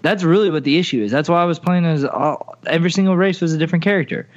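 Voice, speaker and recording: A man's voice: a little high, very fast, highs cut off. A man co-hosting a gaming podcast, rambling and poking fun at himself as he tells his stories. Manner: rambling, self-mocking, full of restarts. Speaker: podcast co-host. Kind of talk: casual gaming podcast chat.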